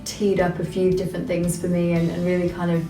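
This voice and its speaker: A woman's voice: lowish voice, completely flat delivery, brisk. Conversational woman, distant echoey sound. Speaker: recruiter. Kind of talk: personal story in an interview. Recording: roomy, distant, faint music under.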